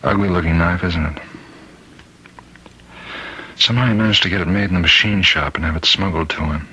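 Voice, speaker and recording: An actor playing a troubled prison warden in a radio mystery, agitated and halting in manner. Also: male voice, deep voice, bright, forceful tone, slightly rough, dull audio, heavily acted. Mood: fearful, confessing. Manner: agitated, halting, repeats himself. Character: troubled male prison warden, rattled and commanding. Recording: faintly noisy old radio recording.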